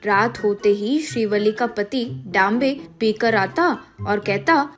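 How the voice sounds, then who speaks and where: A woman's voice: mid-range voice, slow pace, faint music behind. A woman reading scripted moral-story narration as the storyteller.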